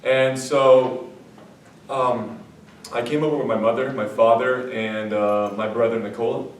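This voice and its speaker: A man in his late teens from Croatia, telling his personal story to an audience in an informal, halting, youthful way. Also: medium pitch, steady tone. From Croatia, moved to Vermont as a child. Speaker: student speaker. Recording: echoey room, distant mic, faint noise.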